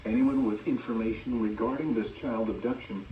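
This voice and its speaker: A man's voice: fairly low pitch, steady tone. Tinny synthetic male weather radio announcer. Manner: formal, measured synthetic delivery. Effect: synthetic voice over radio. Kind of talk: automated emergency bulletin readout. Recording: tinny narrowband radio, distant, faint noise.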